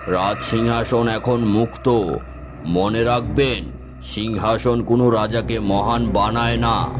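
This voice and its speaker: A man playing a wise, calm holy man who advises a king, in a solemn, moralizing cartoon style. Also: fairly low pitch. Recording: loud music under the voice. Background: music.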